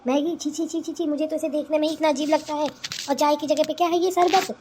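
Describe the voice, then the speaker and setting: Nagging village mother-in-law, voiced by a woman, high-pitched, reproachful and disdainful, cartoon comedy. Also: fairly even intonation, fast, a little mellow, slight background noise, natural voice. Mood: disgusted. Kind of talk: Hindi cartoon family comedy dubbing.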